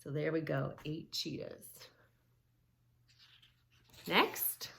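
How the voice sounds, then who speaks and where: A woman hosting a children's yoga read-along, teaching in an emphatic, sing-song way with drawn-out key words and questions. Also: sits low, gravelly, fairly bright tone. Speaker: children's yoga story-time host. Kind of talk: read-along lesson for young children, narrating and instructing.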